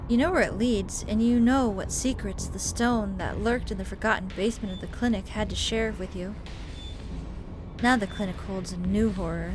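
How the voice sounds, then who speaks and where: A woman reading visual novel text aloud expressively, with casual commentary as she plays. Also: mid-range voice. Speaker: gamer narrating a visual novel playthrough. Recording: music behind the voice. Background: game music.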